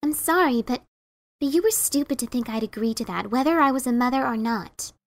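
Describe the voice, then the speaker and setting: A woman's voice: sits high, expressive, a little fast. A woman voicing a blunt, resentful pegasus pony mother in a fan dramatic reading, scolding and incredulous. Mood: pitying.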